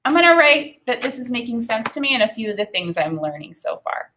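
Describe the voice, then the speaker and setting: A woman in her thirties reading a children's book aloud as a teacher, expressive and deliberate, pausing to think aloud. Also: a little high, a little hiss or hum. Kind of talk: read-aloud lesson with think-aloud commentary. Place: small indoor room with desk and bookshelf.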